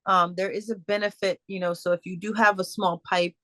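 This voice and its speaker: A woman speaker answering audience questions in a casual, halting way, with many fillers and restarts over a video call. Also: medium pitch, little pitch movement, pushed and bright, dry recording. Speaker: guest speaker.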